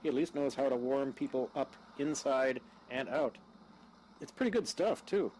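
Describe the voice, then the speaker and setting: A man's voice: mid-range voice, normal speed, a little mellow, natural voice, poor audio. A man playing a casual, polite young husband, a stranded traveler in an audio drama. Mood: wry, casual. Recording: faint background noise.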